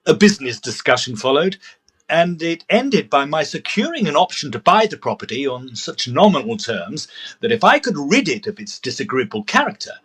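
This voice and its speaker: A man's voice: on the high side, sing-song, moderate pace, a bit bright, slightly rough, exaggerated voice. A man playing a fussy, proper Edwardian ghost-hunter, telling his story formally and precisely in an audio drama. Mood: narrating. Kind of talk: first-person period storytelling and audio drama.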